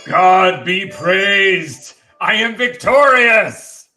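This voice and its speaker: Man putting on a slow, grandiose vampire count. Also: high voice, animated, sharp tone, faint music behind, pitched above the performer's own voice, heavily acted. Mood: triumphant. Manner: slow, declamatory, theatrical. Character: grieving, vengeful prince turned vampire count, grandiose. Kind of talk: amateur script read-through.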